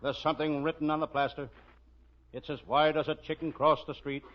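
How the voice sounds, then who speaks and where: An American man playing a deadpan hard-boiled private detective, narrating a 1940s radio parody. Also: a little high, fairly quick, close to an everyday voice. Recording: noisy, muffled 1940s radio recording.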